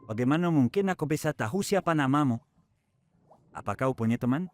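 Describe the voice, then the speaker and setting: A woman's voice: rumbling low, lively intonation, fairly quick, darkish tone. A woman voicing a matter-of-fact, kindly puzzled grown-up animal in a preschool cartoon dub. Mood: puzzled, helpful.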